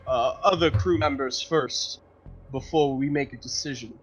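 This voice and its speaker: A man's voice: medium pitch, unhurried, husky, dull audio. A man playing a tabletop roleplaying game, casually talking through his actions and improvising dialogue in a hesitant, self-correcting way.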